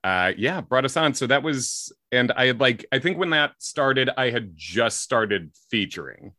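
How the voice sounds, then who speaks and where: A man's voice: medium pitch, lively intonation, unhurried, sharp tone, dry recording, clean studio sound. A comedian from Chicago with a slight Chicago accent, chatting loosely in an interview, rambling and correcting himself as he goes. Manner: rambling, self-correcting, frequent likes and ums. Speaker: comedian guest. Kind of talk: casual interview chat.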